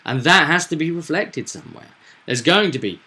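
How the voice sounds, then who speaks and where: A man's voice: fairly high pitch, big pitch swings, a little fast, pushed and bright. A man hosting a casual recap of a tabletop roleplay session, telling it as a story and acting out bits of dialogue and sound effects.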